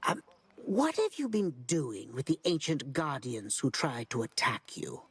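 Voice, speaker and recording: A woman's voice: extremely low pitch, huge pitch swings, measured pace, breathy edge, heavily acted. A woman playing an impatient, curious scholar in a video game, speaking formally and theatrically. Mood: pondering, dry. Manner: theatrical, exasperated, formal wording. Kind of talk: video game character acting.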